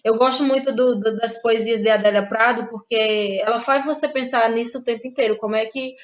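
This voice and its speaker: A woman with a Cearense accent from northeastern Brazil talks in a fairly high voice. She is a playwright and actress being interviewed, reflective and conversational, and she often ends phrases with a little tag seeking agreement. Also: steady tone, brisk. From Ceará, northeastern Brazil.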